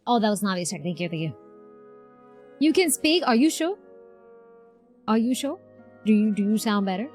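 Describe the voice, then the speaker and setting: A woman streams her reactions in a casual, informal manner. Her voice is medium in pitch and chatty, with faint game music behind it. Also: animated, moderate pace, pauses often, a little mellow. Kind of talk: casual live reaction commentary.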